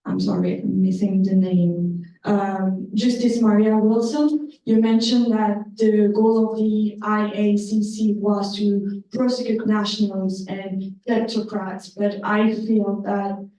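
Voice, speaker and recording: A young woman from Congo asks the panel questions, polite and hesitant, pausing on fillers. Her voice is mellow and sounds distant, caught from far off in a very echoey room. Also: medium pitch, steady tone. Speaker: student asking questions. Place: law school lecture room.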